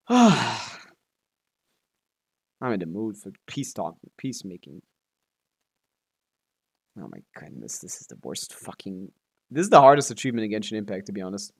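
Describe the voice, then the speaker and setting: Casual, profane man livestreaming a game. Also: mid-range voice. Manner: profane, complaining. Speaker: live streamer playing a video game. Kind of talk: casual rambling gaming chat with viewers.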